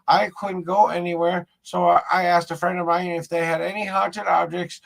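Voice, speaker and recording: Male ghost-hunting streamer, gravelly and slightly high-pitched, casual and rambling. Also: level tone, moderate pace, a bit bright, low-quality recording. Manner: rambling, repeats himself while showing objects. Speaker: paranormal investigator streaming live. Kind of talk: casual live-stream talk.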